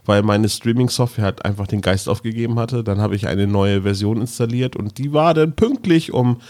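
Lower-pitched man chatting on a podcast. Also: normal speed, darkish tone. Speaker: podcast host. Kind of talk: casual podcast chat.

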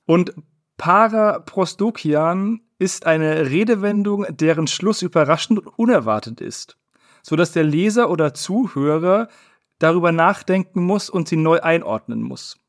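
Ein Mann, der als Quizleiter in einem lockeren Podcast Begriffe vorliest und erklärt. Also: male voice, a little high, animated, unhurried. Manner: reads definitions aloud, explains. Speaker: quiz host. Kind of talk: casual podcast quiz.

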